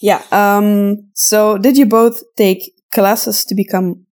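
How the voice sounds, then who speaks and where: A woman's voice: mid-range voice, steady tone, slow pace, a little mellow. A woman from Flanders with a Flemish accent, a native Dutch speaker, chatting casually and self-deprecatingly as a podcast host answering listener questions. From Flanders, Belgium. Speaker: podcast host and audio drama voice actor.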